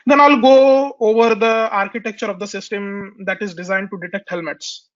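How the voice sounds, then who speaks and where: A man's voice: sits high, steady tone, normal speed. A man giving a technical webinar presentation, talking with a nasal sound from a cold and hesitating with many fillers and restarts, recorded through a computer mic on a conference call. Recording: dull lo-fi webinar audio.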